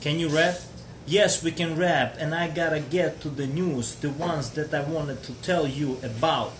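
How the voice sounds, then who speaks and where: A man's voice: on the high side, a little slow. A man from Georgia hosting a casual live stream chat, chatty and joking as he answers viewers. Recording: echoey room with faint noise.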